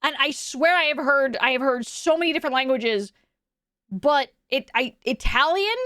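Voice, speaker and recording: A young woman with a high voice, chatting casually with her stream chat in a rambling way, stumbling over her words and laughing often. She is close to the mic and her voice sounds dry, with no room around it. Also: expressive, normal speed, sharp tone, dry recording.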